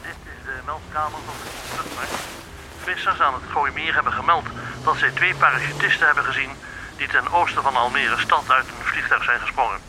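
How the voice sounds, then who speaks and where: An actor playing a formal coastguard dispatcher over a scanner radio in a Dutch radio play, factual, with a Netherlandic accent. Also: male voice, mid-range voice, brisk. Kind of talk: formal scripted announcement. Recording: noisy radio scanner sound.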